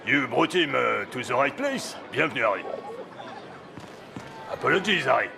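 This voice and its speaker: A man's voice: medium pitch, big pitch swings, moderate pace, gravelly, background noise. An actor playing a courteous but strict French cabaret doorman in a game, with a French accent. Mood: welcoming, formal. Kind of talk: dramatic video game dialogue.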